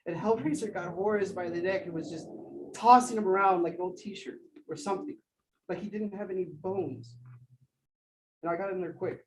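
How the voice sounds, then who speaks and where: A man's voice: high voice, normal speed, darkish tone, slight background noise, lightly acted. An actor playing a shaken young man who stammers as he retells a violent fight, in a stage play. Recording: roomy, distant from mic.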